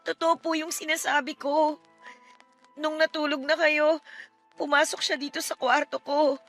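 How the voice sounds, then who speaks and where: Casting: anguished young daughter in radio drama, bright and very high-pitched, pleading and emotional, music underneath. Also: female voice, little pitch movement, measured pace. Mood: subdued, pleading. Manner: pleading, emotional, respectful turning defiant. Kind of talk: Tagalog radio drama acting.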